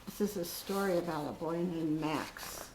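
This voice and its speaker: Older female storyteller, grandmotherly, creaky voice, expressive picture-book read-aloud, recorded in an echoey room. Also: lowish voice. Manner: slow, dramatic, with drawn-out pauses and stressed words. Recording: roomy echo with faint background noise.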